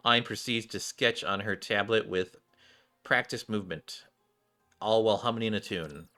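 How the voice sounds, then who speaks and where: A man's voice: lowish voice, normal speed, pushed and bright, soft background music. A man streaming a game, reading its dialogue aloud and acting it out, now and then stumbling and correcting himself.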